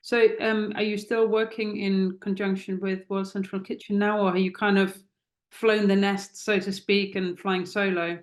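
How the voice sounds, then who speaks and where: A woman's voice: medium pitch, flat delivery, moderate pace, echoey room. A woman hosting a podcast interview, asking questions with some hesitation and reading a closing outro.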